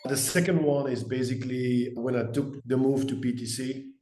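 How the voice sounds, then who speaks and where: A man's voice: mid-range voice, fairly even intonation, normal speed, mellow. A man in his fifties with a Belgian accent, telling his career story as an interview guest. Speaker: guest, sales executive. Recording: roomy, echoey sound.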